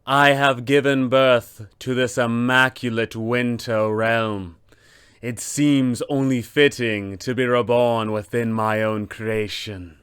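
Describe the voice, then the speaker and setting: An arrogant goddess of winter , acted out by a man, speaks in a grand, very slow cadence. She is a merciless tabletop-game villain who addresses mortals imperiously, in a clean, smooth tone. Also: medium pitch, steady tone, a bit bright, dry recording, clean studio sound. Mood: proud, regal. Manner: grandiose, imperious, drawn-out delivery. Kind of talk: tabletop RPG villain monologue.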